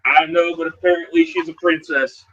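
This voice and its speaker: Bright-voiced man improvising a tabletop role-play. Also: a little high, fairly even intonation, breathy edge, lo-fi sound. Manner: halting, conversational narration. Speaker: role-playing game player. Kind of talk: narrating and improvising in character.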